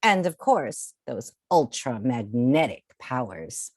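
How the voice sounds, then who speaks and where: A woman reading aloud to children in an expressive, theatrical storytelling style, drawing out key words. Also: very deep voice, slow pace, dry recording, clean studio sound. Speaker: children's picture book reader.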